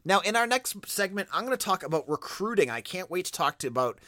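A man with a Boston accent talks sports on his podcast, his pitch swinging up and down as he thinks aloud. His voice is bright and forward. It sits close and dry on the mic, with no room behind it. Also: quick pace, dry recording. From Boston area. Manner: animated, thinking aloud with restarts. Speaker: podcast host. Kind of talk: casual sports podcast talk.

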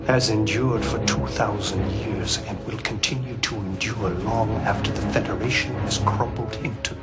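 An actor playing an imperious, pompous villain in a science fiction television drama, declaiming with pride. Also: male voice, fairly low pitch, moderate pace, close to an everyday voice. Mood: proud defiance. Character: imperious villain proclaiming an empire's endurance. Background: loud music.

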